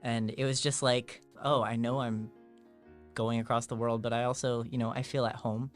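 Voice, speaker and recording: A man's voice: medium pitch, level tone, normal speed, soft background music. A man in his twenties, answering interview questions in an easygoing way with filler words. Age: 20s. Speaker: guest.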